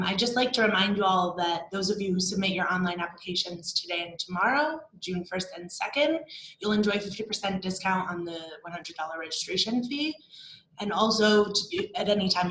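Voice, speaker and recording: A woman's voice: fairly low pitch, fairly bright tone. A young woman hosting an online student panel as marketing coordinator, upbeat and welcoming, with a few restarts. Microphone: video call. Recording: roomy echo, faint hum.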